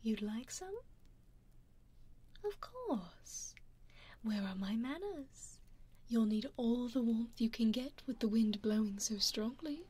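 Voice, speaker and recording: A woman with a British accent voicing a teasing aristocratic female vampire in an ASMR roleplay, whispering softly and slyly into a binaural mic. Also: on the high side, expressive, slow, pauses often, a little hiss or hum. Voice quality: soft whisper, sly and mocking.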